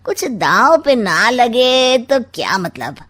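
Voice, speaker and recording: Woman doing a smug scheming old uncle, cartoon comedy, slow sarcastic drawl. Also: fairly high pitch, sing-song, a bit bright, exaggerated voice. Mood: sly, coaxing. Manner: sardonic, drawn-out rhyming delivery. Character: scheming, sly, smug maternal uncle, older man. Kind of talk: comic cartoon story acting.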